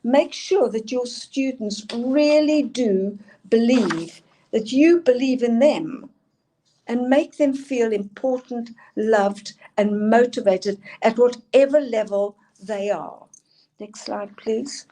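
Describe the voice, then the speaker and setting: Older South African woman, emphatic presenter, warm low-brightness tone. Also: takes it slowly. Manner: earnest, emphatic, asks for next slides. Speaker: school leadership speaker. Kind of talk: presenting slides in a talk.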